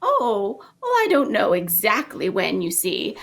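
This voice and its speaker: A woman's voice: medium pitch, wildly swooping pitch, measured pace, creaky, fairly bright tone, a little hiss or hum, exaggerated voice. A woman voicing a chatty, flustered, prim young lady cat in a gushing, theatrical, rambling way. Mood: chatty, nosy.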